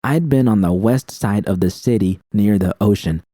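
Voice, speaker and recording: A man's voice: fairly low pitch, moderate pace, mellow, no room sound, clean studio sound. A man reading first-person fiction as an audiobook narrator, with dramatic storytelling delivery.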